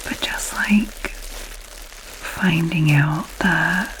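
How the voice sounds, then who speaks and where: A woman with a Scottish accent, whispering slowly and intentionally in a chatty ASMR video, musing aloud close to the mic. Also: sits low, noisy background. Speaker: ASMR creator.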